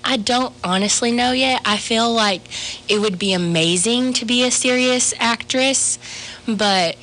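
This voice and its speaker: A woman's voice: fairly high pitch, unhurried, a bit bright, a little hiss or hum, poor audio. Hesitant teenage girl on radio. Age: teens. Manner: hesitant, thoughtful. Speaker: young actor guest. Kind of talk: casual interview answers.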